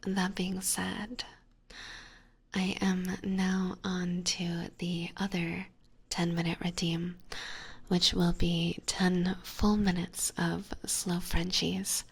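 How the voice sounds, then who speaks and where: A young woman streaming ASMR, whispering softly into a close microphone as she chats with viewers. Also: fairly low pitch, flat delivery, slow pace, gravelly, breathy edge. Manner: soft whisper, reading and answering chat. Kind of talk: whispered ASMR livestream chat.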